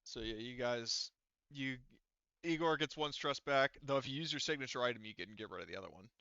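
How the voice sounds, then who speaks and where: A man's voice: mid-range voice, a little fast, bright, forceful tone, smooth, no room sound, muffled recording. A man acting as game master, casually narrating a tabletop role-playing game in an informal way.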